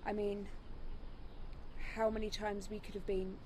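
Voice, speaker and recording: A woman playing a supportive, gently teasing young apocalypse survivor who reassures people, in a radio drama. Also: medium pitch, little pitch movement, measured pace, slight background noise, close to an everyday voice. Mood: reassuring.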